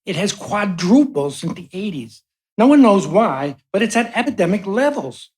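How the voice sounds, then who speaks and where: A woman's voice: mid-range voice, big pitch swings, moderate pace, a little mellow, natural voice. A woman playing an affable, wry male patient in a live radio play.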